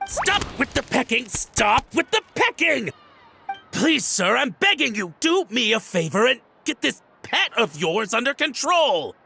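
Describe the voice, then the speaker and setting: A flustered, fawning antique collector from a video game. His voice sits high and swings widely as he stammers and pleads. He sounds eager to please and easily rattled. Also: big pitch swings, a little slow, creaky, sharp tone, faint music behind, exaggerated voice. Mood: exasperated. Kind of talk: video game cutscene voice acting.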